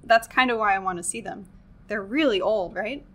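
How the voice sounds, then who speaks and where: A woman's voice: medium pitch, normal speed, fairly bright tone, natural voice. A woman playing a young American tourist in a radio play, earnest, wry and self-deprecating, with an American accent. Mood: polite, curious. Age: young adult.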